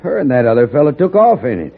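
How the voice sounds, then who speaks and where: A man's voice: medium pitch, big pitch swings, fast talker, gravelly. An actor playing an outlaw gunman, blustering and easily cowed, in a 1950s radio Western. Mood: alarmed, cowed. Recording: old radio, tinny, noisy, echoey.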